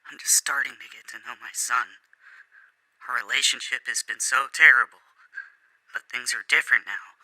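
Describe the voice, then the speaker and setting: A man's voice: medium pitch, normal speed, pauses often, bright, forceful tone, no room sound, voice pushed up, poor audio. A man voicing a wary, easily rattled doctor cornered over a debt, tense and defensive, in a dramatic audiobook reading. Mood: terrified, pleading.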